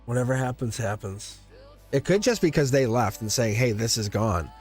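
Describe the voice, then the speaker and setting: A man's voice: mid-range voice, expressive, moderate pace, a little mellow, faint music behind. A man giving a live-streamed tarot reading, chatty and teasing, laughing often as he talks to his viewers. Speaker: tarot reader.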